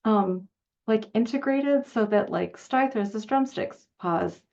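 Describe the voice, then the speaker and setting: A woman with a creaky, fry-edged voice hosts an enthusiastic conversation. She phrases things hesitantly and effusively, and the recording is a little dull and lo-fi. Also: fairly high pitch, slow, a little mellow. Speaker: panel host. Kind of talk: enthusiastic interview chat.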